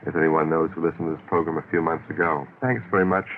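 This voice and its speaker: A man's voice: very deep voice, fairly even intonation, fast, a little hiss or hum. A man hosting a radio interview, chatting casually and nostalgically, on an old radio recording. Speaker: radio show host interviewing a guest. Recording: dull lo-fi old radio broadcast.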